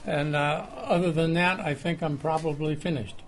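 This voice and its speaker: An older man giving an informal reminiscing speech at a club luncheon, hesitant and halting. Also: fairly high pitch, fairly even intonation. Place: restaurant luncheon room. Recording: faint room noise.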